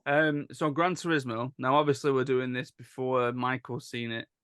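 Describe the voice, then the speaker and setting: A man's voice: mid-range voice, fairly even intonation, normal speed, fairly bright tone, clear, resonant tone, dry recording, studio quality. A man leading a casual film discussion as a podcast host. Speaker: teacher.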